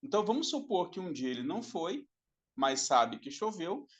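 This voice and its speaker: A man's voice: high voice, sing-song, normal speed. A man giving a recorded class lecture, explaining step by step, repeating himself and checking in with the students. His throat is dry and he coughs now and then.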